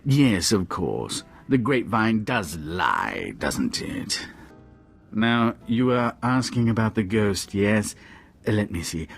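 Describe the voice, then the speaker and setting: An actor playing an obsequious, scheming Sith informant in a video game, unctuous, hesitant and self-deprecating. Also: male voice, lowish voice, sing-song, slow, creaky, slightly rough, faint music behind, full character voice. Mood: fawning, evasive.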